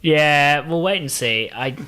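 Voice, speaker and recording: A man co-hosting a podcast, talking casually about pop culture in a jokey way and quick to laugh. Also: on the high side, expressive, bright, forceful tone, clean tone. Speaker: podcast co-host.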